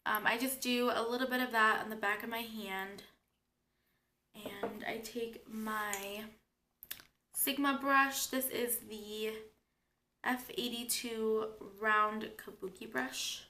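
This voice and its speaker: A young woman talks through her makeup routine in a relaxed, chatty way, explaining each step. Her voice is bright and a little high, though stuffy from a cold and a sore throat. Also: slow, slight background noise. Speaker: beauty vlogger. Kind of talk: casual makeup tutorial.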